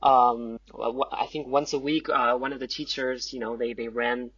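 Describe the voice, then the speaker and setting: Halting young man, dull lo-fi sound. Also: mid-range voice, level tone, fairly bright tone, slightly rough, no echo. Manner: halting, self-deprecating. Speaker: podcast guest, amateur theater group member. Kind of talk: casual podcast chat.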